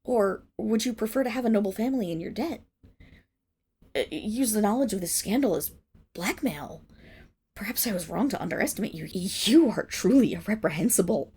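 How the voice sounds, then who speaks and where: A young woman playing a proud, flustered noble Fenrir monstergirl in an ASMR roleplay, speaking formally with stammers and breathy, whispered dips. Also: mid-range voice, expressive, normal speed, a little mellow. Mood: flustered, indignant. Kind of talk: ASMR roleplay acting, addressed to the listener.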